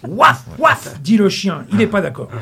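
A man's voice: sits high, sing-song, moderate pace, vocal fry, sharp tone, husky. A middle-aged man reading a humorous radio column in a studio, full of wordplay and mock-grand asides. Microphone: studio mic.

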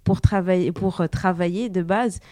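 A woman's voice: on the low side, moderate pace, dark tone, no echo. A young woman born in Portugal, guest on a local radio show, talking casually with frequent fillers. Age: young adult. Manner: hesitant, many fillers.

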